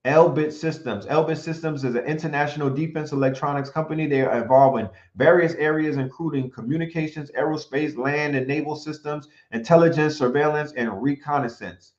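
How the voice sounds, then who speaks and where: A man's voice: a little high, a little fast, few pauses. Emphatic New man in a roomy space. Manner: animated, emphatic, repetitive rhetorical questions. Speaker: commentator. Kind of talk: monologue commentary mixed with reading from notes. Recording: roomy, dull lo-fi sound.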